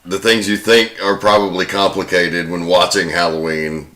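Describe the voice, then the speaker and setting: A man's voice: deep voice, level tone, normal speed, pushed and bright. A man co-hosting a film podcast, presenting behind-the-scenes commentary to friends. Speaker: podcast co-host. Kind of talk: prepared film trivia commentary among friends.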